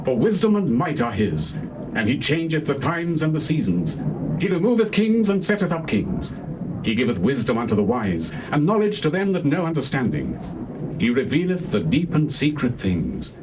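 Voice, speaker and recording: An actor playing a devout, wise young prophet in a scripture drama, speaking with earnest, reverent declamation. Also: male voice, medium pitch, wildly swooping pitch, moderate pace. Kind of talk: dramatized scripture acting in archaic English. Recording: noisy old cassette.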